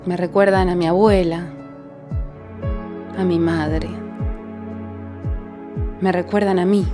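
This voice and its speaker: A woman's voice: on the low side, fairly even intonation, slow pace. A woman reading a poetic, reflective voice-over narration. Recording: voice-over over clear music.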